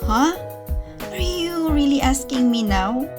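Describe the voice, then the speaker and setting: A woman's voice: sits high, animated, slow. A woman acting as an affectionate, teasing young sweetheart in a radio drama, with a Filipino accent. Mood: teasing, fond. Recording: music playing behind the voice.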